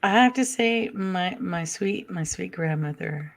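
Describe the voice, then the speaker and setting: Low, gravelly soft-spoken woman. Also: lively intonation, measured pace. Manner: soft-spoken, hesitant with many ums. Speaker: guest. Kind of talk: casual storytelling chat.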